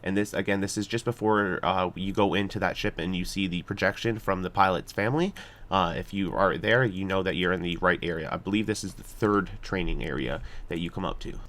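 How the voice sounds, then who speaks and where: A man with a lowish voice hosts a video game guide. He explains directions step by step without a script. His delivery is somewhat hesitant, with pauses and repeated words as he goes. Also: fairly bright tone. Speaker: gaming guide host. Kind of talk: unscripted video game walkthrough commentary.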